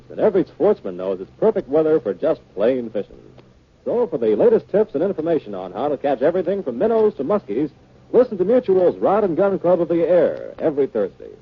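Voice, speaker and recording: A man's voice: fairly high pitch, slightly rough, no echo. An American man announcing formally and emphatically from a script in 1950s radio style. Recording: muffled 1950 radio broadcast.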